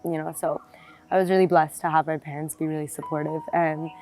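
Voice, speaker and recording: A woman's voice: sits low, level tone, a little fast, darkish tone, poor audio. A woman in her twenties from South Florida, reflectively telling her life story in an interview, with many fillers and restarts. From South Florida, United States. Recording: music playing behind the voice.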